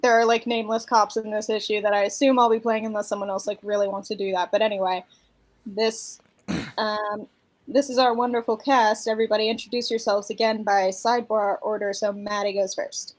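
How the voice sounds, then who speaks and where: A woman's voice: mid-range voice, flat delivery, brisk. A woman hosting an informal online group stream, chatting casually as the narrator of the cast. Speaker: stream host and narrator of a comic dub.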